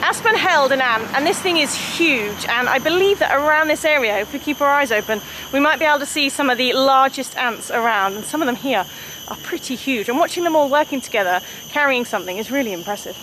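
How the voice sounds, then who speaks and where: A woman's voice: high voice, animated, a little fast, sharp tone, slight background noise, rough recording. A woman in her thirties from the UK, talking in a chatty, curious way as she narrates a family nature walk vlog outdoors. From United Kingdom. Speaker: vlogger. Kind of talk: casual travel vlog narration.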